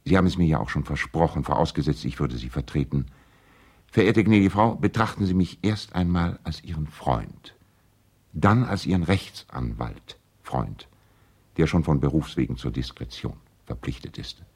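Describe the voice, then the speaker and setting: Very deep, husky gentleman lawyer. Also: full character voice. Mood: soothing, diplomatic. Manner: courtly, emphatic, deliberate. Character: courteous, alarmed gentleman lawyer and family friend. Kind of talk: 1970s German radio play, letter monologue.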